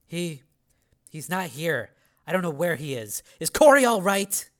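A man's voice: on the high side, very animated, a little slow, pitched above the performer's own voice. A man voicing an anxious, demanding woman in an audiobook thriller, urgent and worried.

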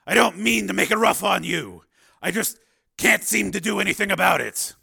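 A man voicing a tough, tormented cyborg man full of bitterness and self-doubt, in a forceful audiobook performance. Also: high voice, normal speed, gravelly, slightly rough, pitched above the performer's own voice, exaggerated voice. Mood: apologetic, strained. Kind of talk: dramatized audiobook dialogue.

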